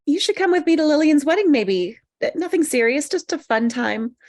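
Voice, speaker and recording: A woman's voice: sits high, expressive, moderate pace. A woman playing a hapless, defiant single woman in a comedy table read, conversational and falsely breezy. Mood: awkward.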